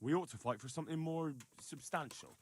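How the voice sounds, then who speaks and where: A man's voice: on the high side, fairly quick, husky. A man playing a confident, persuasive young medieval man in a video game, talking matter-of-factly. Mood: dry, coaxing. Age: young adult. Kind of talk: video game dialogue acting.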